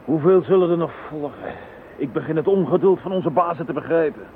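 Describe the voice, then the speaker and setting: A man's voice: on the high side, animated, a little fast, slight background noise, lightly acted. Male actor as a dry, impatient senior detective; lo-fi tinny recording. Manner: blunt, dry. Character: gruff impatient male chief inspector. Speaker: chief inspector. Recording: tinny lo-fi old radio recording.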